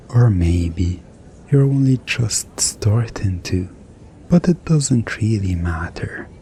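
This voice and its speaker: A man speaking as a hypnotist in a hypnosis ASMR style, hushed and soothing, drifting along in short phrases with pauses. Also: lowish voice, a little hiss or hum. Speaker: hypnotist in a collaborative audio roleplay. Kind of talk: hypnosis ASMR, slow guided descent.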